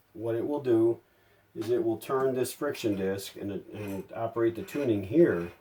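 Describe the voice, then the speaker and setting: A man's voice: medium pitch, a little mellow. A middle-aged man narrating hands-on workshop repair in a casual, folksy way, chatting and thinking aloud as he works. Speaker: hobbyist restorer presenting a repair.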